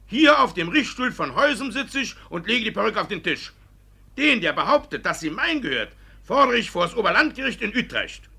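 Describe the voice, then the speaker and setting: A shifty village judge from a classic radio comedy, blusters with indignation. His pitched-up voice is forceful and husky, forever evasive. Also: very animated, fairly quick, full character voice. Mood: indignant bluster. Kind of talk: classic German stage comedy as radio play.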